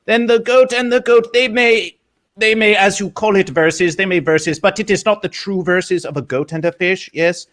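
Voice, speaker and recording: A man doing an odd, theatrical mascot figure who refers to himself in the third person and drops "yes" into every sentence. His voice sits a little high and sounds bright and forceful. He swoops through his tales in a stilted, foreign-feeling grammar. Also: fairly even intonation, moderate pace. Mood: storytelling. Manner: theatrical, swooping storytelling delivery. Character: quirky male mascot character, speaks in third person. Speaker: guest. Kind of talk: in-character livestream chat.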